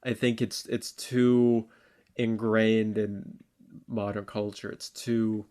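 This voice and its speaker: A man co-hosting a podcast, discussing politics casually in a halting, informal way and often repeating words. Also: on the low side, level tone, darkish tone, clean tone. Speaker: podcast co-host debating politics.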